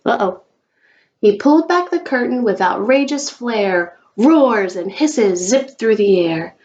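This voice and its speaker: A woman reading a picture book aloud to a young child, expressive and coaxing, in the manner of a teacher. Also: a little high, a little slow, dull audio. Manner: expressive, coaxing talk aimed at small children.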